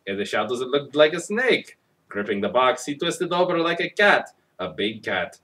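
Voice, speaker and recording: A man's voice: medium pitch, sing-song, moderate pace, pushed and bright. A man narrating a comic dramatic reading of a children's story, hammy and sarcastic, recorded at some distance from the microphone.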